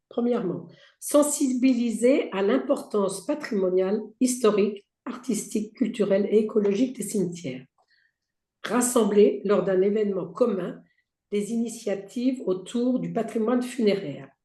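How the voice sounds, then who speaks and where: A woman with a hoarse, strained voice walks an audience through a slide presentation, listing points and stumbling into restarts. Her tone is dark and mellow, and the sound bounces around a roomy space. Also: mid-range voice, normal speed. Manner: reads out slide points with frequent fillers and restarts. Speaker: presenter for a heritage federation. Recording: roomy echo.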